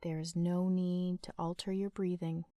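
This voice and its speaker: A woman leading a guided sleep meditation in a gentle, soothing, unhurried manner with long pauses. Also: fairly low pitch, level tone, no room sound, studio quality.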